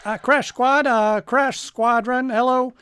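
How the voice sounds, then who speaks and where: A man playing a dutiful, brisk junior radar operator in radio drama style. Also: extremely high pitch, steady tone, fairly bright tone, clear, resonant tone, no echo, exaggerated voice.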